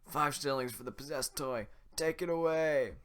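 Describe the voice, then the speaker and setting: A man's voice: on the high side, normal speed, a bit bright, voice pushed up, close to an everyday voice. A man voicing a brusque, bossy male head guard who barks orders and prices. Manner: barking orders.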